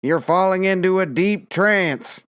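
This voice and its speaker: A woman narrator puts on a blustering male army major, loud and demanding, his pitch near her own. He sounds exasperated and pushy, recorded close and dry. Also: measured pace, smooth, dry recording. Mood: commanding. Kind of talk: audiobook character voice.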